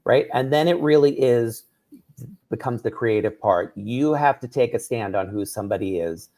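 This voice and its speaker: New author explaining eagerly. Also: male voice, mid-range voice, normal speed, mellow. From New York. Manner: enthusiastic, explanatory, tags "right?". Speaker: author and drag performer, guest. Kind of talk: radio interview.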